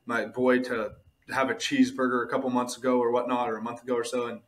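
Male podcast host, mid-pitched, casual and slangy, earnest motivational delivery with emphatic repetition. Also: flat delivery, fast. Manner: earnest, emphatic, repeats points, slangy with "bro" and "dude".

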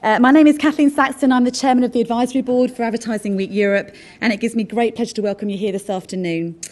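Event chair, woman in her thirties, fast and formal at the lectern. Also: a little high, fairly even intonation, darkish tone. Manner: brisk, formal. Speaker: event chair introducing a session. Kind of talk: scripted welcome speech. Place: conference stage at a lectern. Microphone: lectern mic.

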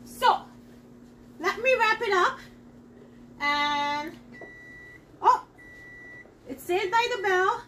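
Middle-aged woman, very high voice, excitable home-kitchen demo host, slightly off-mic. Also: drawn-out words, long pauses, far from the mic, a little hiss or hum. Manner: chatty and excitable, talks straight to viewers. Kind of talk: live cooking demonstration. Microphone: camera across the counter.

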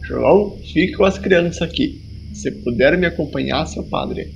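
A wary, rustic village mayor played by a man in an audio drama. The voice is gravelly and a bit high, colloquial and suspicious, with faint noisy ambience behind. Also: moderate pace, lo-fi sound.

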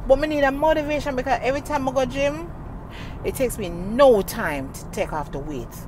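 A woman's voice: high voice, lively intonation, a little fast. A middle-aged woman, talking casually to her phone camera from inside a car, emphatic and repetitive, speaking into a wired earphone mic. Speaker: vlogger. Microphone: wired earphone mic, phone held close. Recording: car and street noise.

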